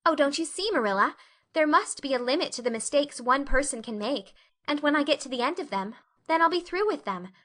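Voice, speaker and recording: A woman voicing an imaginative young orphan girl who talks in a high, breathless, dramatic way. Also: expressive, brisk, a bit bright. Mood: earnest.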